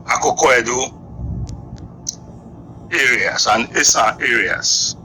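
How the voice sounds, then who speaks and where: A Nigerian man lectures on history at an unhurried, measured pace. His voice is very bright and forceful, and he lays out each point deliberately. A faint hiss of noise sits under the recording. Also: medium pitch, poor audio. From Edo, Nigeria. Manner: slow, deliberate lecturing with long pauses. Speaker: guest historian. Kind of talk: explaining history in an interview.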